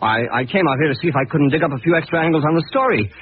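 An actor playing a flustered, defensive newspaperman in a 1940s radio drama, stammering hurried excuses. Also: fairly high pitch, lively intonation, noisy background, close to an everyday voice. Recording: tinny 1940s radio recording.

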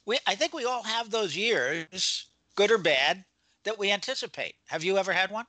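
A man's voice: high-pitched, expressive, moderate pace, pushed and bright, no echo. An older man chatting casually as the host of a talk show. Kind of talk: casual chat.

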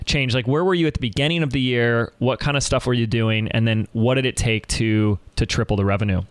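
A male podcast host speaks at a mid-range pitch into a dry, close recording. He talks with eager enthusiasm and heaps praise on his guest. He keeps breaking off and restarting his sentences as he asks his questions. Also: fairly even intonation, brisk, smooth, dry recording. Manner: enthusiastic, effusive, with frequent restarts. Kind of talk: conversational podcast interview.

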